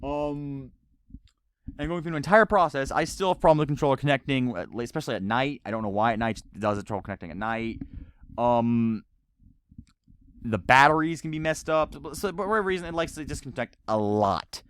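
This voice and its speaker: A man's voice: medium pitch, brisk, fairly bright tone, clear, resonant tone, dry recording. A man giving an unscripted, ranting video game review, stammering and repeating himself as he rambles along.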